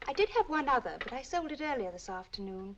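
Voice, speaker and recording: A woman's voice: high voice, very animated, fast. An actress playing a polite female shop clerk in a 1940s film, with genteel shopkeeper manners. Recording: dull old film soundtrack with clear hiss.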